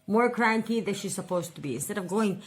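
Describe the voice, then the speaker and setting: A woman's voice: mid-range voice, brisk, breathy edge. Woman in her forties, budget vlogger, rambling, venting, repeats herself. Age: 40s. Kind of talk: casual personal vlog.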